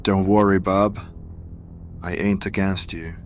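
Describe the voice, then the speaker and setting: Man playing a very deep, sly turncoat. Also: flat delivery, slow, slight background noise. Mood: smooth, assured. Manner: cool, formal, archaic turns of phrase. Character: sly, self-serving male ally turned against former masters. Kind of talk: audio drama acting.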